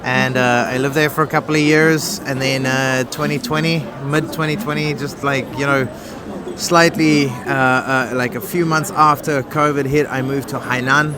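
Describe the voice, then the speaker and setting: A man's voice: medium pitch, level tone, moderate pace. Chatty South African man on a busy street. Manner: friendly, rambling with many fillers. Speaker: interviewee. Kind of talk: street interview answers. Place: busy city street outdoors. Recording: busy street crowd behind.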